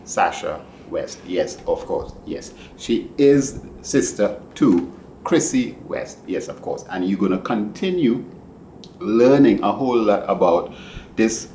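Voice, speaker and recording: A man hosting a podcast, interviewing a guest in a casual, rambling and animated way. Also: fairly low pitch, slow. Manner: animated, rambling, laughs easily. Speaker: podcast host. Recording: echoey room with background noise.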